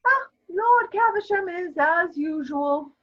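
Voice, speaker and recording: Slow, high-voiced haughty old dowager. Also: female voice, lively intonation, lo-fi sound, heavily acted. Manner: grand, lamenting, drawn-out delivery. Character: haughty elderly society mother, plaintive and disapproving. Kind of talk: stage comedy of manners, dramatic reading.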